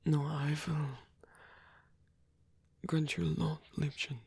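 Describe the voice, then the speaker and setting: Slow, whispery old vampire, acted by an ASMR performer. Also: medium pitch, level tone, darkish tone, no echo, exaggerated voice. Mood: tender, gentle. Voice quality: breathy, whispery, husky. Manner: slow, halting, tender delivery. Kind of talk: ASMR roleplay monologue.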